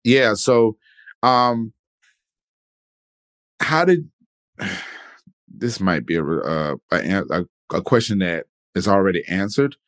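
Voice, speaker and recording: A man hosting a podcast interview in relaxed, casual chat, rambling with many fillers and restarts. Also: sits low, slow pace, pauses often, no room sound. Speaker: podcast host.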